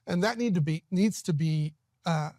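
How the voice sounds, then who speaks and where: A man's voice: fairly high pitch, normal speed, darkish tone, dry recording, studio quality. A man speaking as a city council candidate in an earnest TV interview, often restarting his sentences. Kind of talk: TV studio interview.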